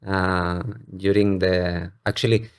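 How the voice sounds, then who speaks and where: A man's voice: low voice, level tone, slow, smooth. A man in his thirties from Cyprus, born in Bulgaria, talking reflectively as a podcast guest. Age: 30s. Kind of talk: reflective personal interview. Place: home studio at a dining table.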